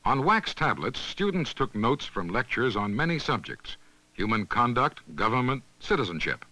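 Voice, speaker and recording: Bright-voiced man narrating an educational film. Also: medium pitch, big pitch swings, dry recording, rough recording. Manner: measured, instructional. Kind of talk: scripted documentary narration. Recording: 1970s instructional film soundtrack, faint music.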